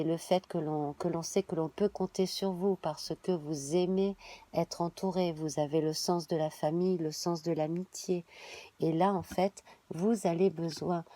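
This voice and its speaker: A woman, reading a monthly tarot spread while she has a cold, halting and filling pauses. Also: lowish voice, mellow, no room sound. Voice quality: hesitant, many fillers and restarts. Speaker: tarot reader.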